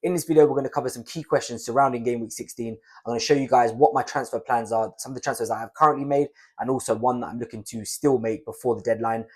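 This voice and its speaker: Young male YouTuber, raspy from a cold, rapid casual delivery, mid pitch. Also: steady tone, darkish tone. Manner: chatty, conversational, fast. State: croaky from a cold. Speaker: fantasy football YouTuber. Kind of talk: casual analysis to camera.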